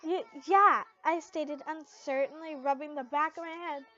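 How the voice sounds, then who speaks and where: Young female amateur storyteller, high girlish pitch, expressive delivery with stumbles, muffled close home recording. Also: normal speed. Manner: dramatic, stumbling and re-reading lines. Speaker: fan fiction reader.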